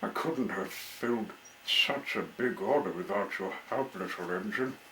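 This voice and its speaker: Man acting a kindly, slow-talking mill owner. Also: fairly low pitch, sharp tone, a little hiss or hum, rough recording. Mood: satisfied. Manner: reassuring, folksy. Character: kindly, reassuring lumber mill owner, a father.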